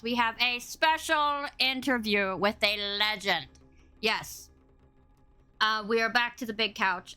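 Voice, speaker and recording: A woman hosts a chatty interview stream in a big, boomy voice with a bright, forceful edge. Her pitch sits fairly high and moves around in lively swoops. She is effusive and playful, and rambles from one thought to the next. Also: clear, resonant tone. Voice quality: loud, boomy voice. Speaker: podcast host and interviewer. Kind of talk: casual, chatty livestream podcast interview.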